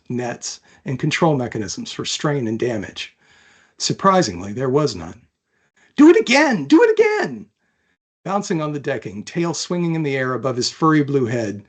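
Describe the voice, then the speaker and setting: A man with a mid-pitched voice narrates as an author reading his own book. The delivery is dramatic storytelling, scripted and descriptive, in an audiobook style.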